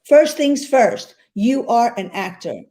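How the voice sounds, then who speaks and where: A woman's voice: fairly high pitch, lively intonation, slow pace, dark tone. A woman from North Africa, an actress, speaking earnestly in a casual interview and often repeating words as she finds them.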